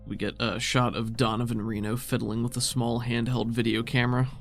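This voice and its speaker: A man's voice: mid-range voice, level tone, brisk, faint music behind. A man narrating scenes as the game master of a tabletop roleplaying game.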